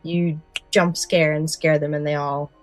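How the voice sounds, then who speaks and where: Low-pitched, emphatic woman chatting on podcast. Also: level tone, unhurried, faint music behind. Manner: emphatic, repeats words for stress. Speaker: podcast co-host. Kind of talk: casual enthusiastic chat.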